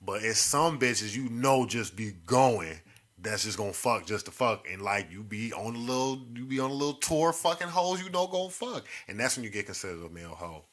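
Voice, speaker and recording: A man's voice: mid-range voice, animated, normal speed, fairly bright tone. A man co-hosting a casual podcast, talking in profane slang and teasing the others. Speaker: podcast co-host.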